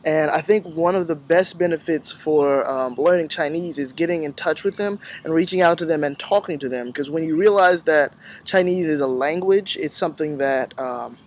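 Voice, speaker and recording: A young male college student giving friendly, fluent advice to new language students. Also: fairly high pitch. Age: young adult.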